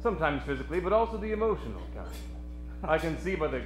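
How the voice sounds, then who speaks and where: A man's voice: fairly high pitch, sing-song, quick pace, reverberant, soft background music. A man playing an oily, polite bartender with a foreign accent in a radio parody. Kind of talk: old-time radio parody acting.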